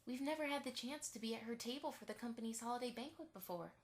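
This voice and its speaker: Chatty, eager-to-please cartoon pony mother for radio play, female performer; fairly high voice, effusive. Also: quick pace, close to an everyday voice. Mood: placating. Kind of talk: fan radio play acting.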